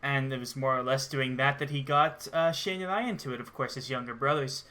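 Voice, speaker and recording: Male co-host, 23, mid-pitched and bright, informal and keen, talking through a video game. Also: a little fast. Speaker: gaming show co-host. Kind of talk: casual gameplay chat.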